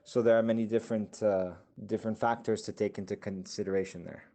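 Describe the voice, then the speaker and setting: A man's voice: on the low side, steady tone, fast talker, warm tone. A man working as a PR account manager, explaining client strategy in a podcast answer.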